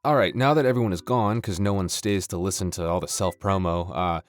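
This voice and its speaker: A man's voice: low-pitched, a little fast, faint music behind. A man chatting casually as an audio creator, rambling with dry, self-deprecating humor. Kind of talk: casual, joking outro chat.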